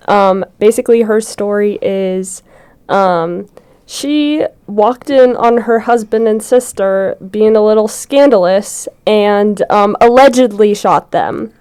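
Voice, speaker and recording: Mellow, smooth-voiced teenage girl. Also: mid-range voice. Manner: relaxed, explains with fillers. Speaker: student actor. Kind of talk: casual interview answers.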